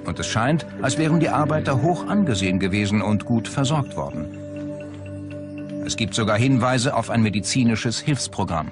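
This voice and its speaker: A man's voice: medium pitch, expressive, normal speed. Male documentary narrator over prominent music. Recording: music bed under the voice. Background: background music.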